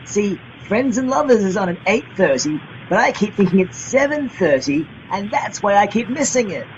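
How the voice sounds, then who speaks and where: A man's voice: high-pitched, sing-song, normal speed, lo-fi sound. Actor as cocky, eccentric amateur detective guy, faint noise. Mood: confident. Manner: cheeky, self-assured, theatrical. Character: eccentric, overconfident young male amateur detective, excitable and stubborn. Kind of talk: comic audio drama acting.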